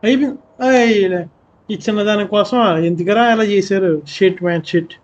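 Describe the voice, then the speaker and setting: Fast-talking streamer guy. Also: sits high, muffled recording. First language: Telugu. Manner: chatty, quick, mixes in English. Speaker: game streamer. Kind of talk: casual live game commentary.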